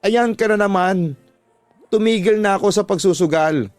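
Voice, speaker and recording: A man's voice: high-pitched, normal speed, dry recording, pitched above the performer's own voice, close to an everyday voice. A defiant, blaming Filipino wife from a radio drama, performed by a man. The delivery is dramatic and scripted, recorded dry with music underneath. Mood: complaining.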